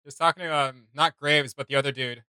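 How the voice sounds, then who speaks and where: A man's voice: medium pitch, steady tone, brisk, bright, forceful tone, clear, resonant tone, no room sound. A man hosting a reaction video, speaking casually and hesitantly as he gropes for words.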